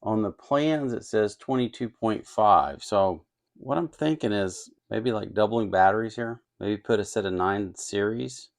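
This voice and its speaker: A man with a fairly low voice and a strongly creaky, gravelly edge talks through a hands-on task. His delivery is casual and unscripted, as if thinking aloud. He muses and hesitates as he goes. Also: unhurried. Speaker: host of an electronics kit-building channel. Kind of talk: casual, unscripted workbench narration.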